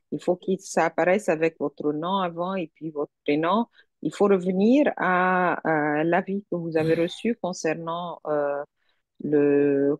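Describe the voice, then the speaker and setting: A woman's voice: lowish voice, a little mellow, dry recording. A woman from a university encouraging applicants in an online meeting, earnest, with many hesitations. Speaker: university staff member. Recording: dull dry online call audio.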